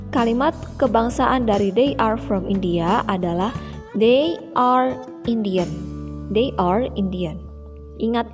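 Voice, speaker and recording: A young woman teaching English to primary school children, slowly and carefully repeating each example sentence. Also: on the high side. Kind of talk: teaching English in a video lesson. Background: background music.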